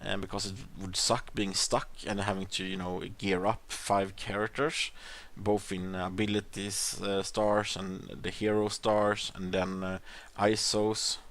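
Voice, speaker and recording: A man with a Swedish accent gives relaxed gameplay commentary in a somewhat low voice. He thinks out loud, stalling on ums and uhs and restarting his sentences. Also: unhurried, few pauses. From Sweden. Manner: rambling, hesitant, many fillers and restarts. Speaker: gaming channel host.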